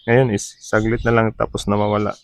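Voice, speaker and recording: A man's voice: fairly low pitch, level tone, fairly quick, dry recording. A man from the talks through a hands-on repair. He is informal and practical, explaining each step as he goes. English technical terms are mixed into his speech. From Philippines. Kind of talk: casual repair demo vlog.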